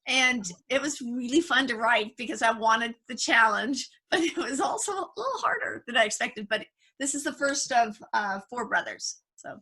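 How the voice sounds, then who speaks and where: A woman's voice: fairly high pitch, lively intonation, moderate pace, hardly any pauses, pushed and bright, breathy edge. A woman, an author introducing herself casually as a panel guest. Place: at home on a video call.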